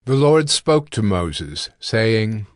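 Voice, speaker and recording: A man narrating scripture in a slow, deliberate announcing manner. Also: on the low side, big pitch swings.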